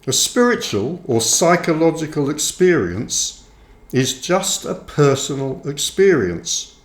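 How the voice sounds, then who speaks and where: Slow-reading man in a roomy space. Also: a little high, sing-song. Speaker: author reading own book aloud. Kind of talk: audiobook-style scripted narration. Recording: roomy, echoing sound.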